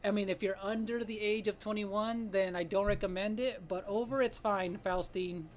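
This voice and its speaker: Late-thirties art streamer, relaxed chat with viewers, frequent laughs, mid-pitched voice. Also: normal speed. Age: 30s. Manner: laughs often, greets chat viewers by name. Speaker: live-stream artist host. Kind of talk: casual live-stream chat while drawing.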